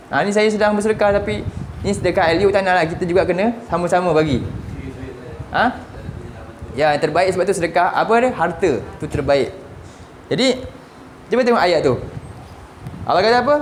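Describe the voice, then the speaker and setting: A Malaysian man in his forties teaching a Quran lesson, constantly asking his listeners rhetorical questions. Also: sits high. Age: 40s. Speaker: religious teacher. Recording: roomy with faint background noise.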